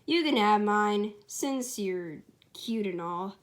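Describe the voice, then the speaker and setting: A woman's voice: mid-range voice, lively intonation, takes it slowly. Woman doing a blunt, grumpy male hedgehog. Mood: casual. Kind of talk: amateur comic dub storybook reading.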